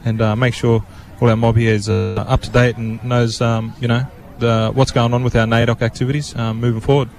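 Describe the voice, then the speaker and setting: A man's voice: medium pitch, level tone, a little fast, hardly any pauses, music in the background. An Australian man hosting a radio interview in a friendly, informal way with many fillers, broadcasting outdoors at a public event. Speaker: radio host. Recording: outdoor broadcast with crowd murmur.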